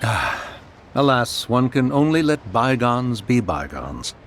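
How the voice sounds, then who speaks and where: Stately, lilting ancient immortal spirit. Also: male voice, mid-range voice, very animated, slow pace, a bit bright, slight background noise. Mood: resigned. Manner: formal, archaic, refers to self as one. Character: dignified ancient male adeptus spirit in human form. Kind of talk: English video game dub acting. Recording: voice over background music.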